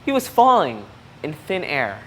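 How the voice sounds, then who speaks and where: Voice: medium pitch, huge pitch swings, a little slow, fairly bright tone. A person reading a children's picture book aloud expressively, explaining things and putting questions to young listeners. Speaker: storybook reader.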